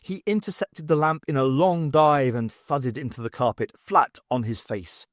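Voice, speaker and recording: A man's voice: on the high side, normal speed, dry recording, studio quality. A man reading scripted story narration as the narrator of an audiobook.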